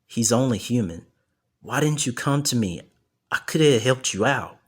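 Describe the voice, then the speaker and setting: A man's voice: medium pitch, expressive, normal speed, slightly rough. A man playing a principled, protective martial arts mentor, earnest and firm, in a dramatized audio story. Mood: pleading, earnest.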